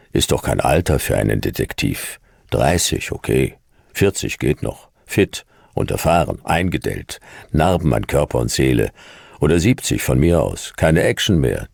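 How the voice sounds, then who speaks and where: A man playing a world-weary, sardonic fifty-year-old private detective, narrating a noir radio drama in a gravelly, laconic voice. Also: very deep voice, big pitch swings, unhurried, darkish tone, no room sound, exaggerated voice. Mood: grumbling, resigned. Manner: laconic, dry, clipped.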